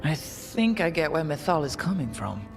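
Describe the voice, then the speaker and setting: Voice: medium pitch, sing-song, normal speed, creaky, soft background music. An actor playing a weary but wry young adventurer who leads a team in a video game. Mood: reflective.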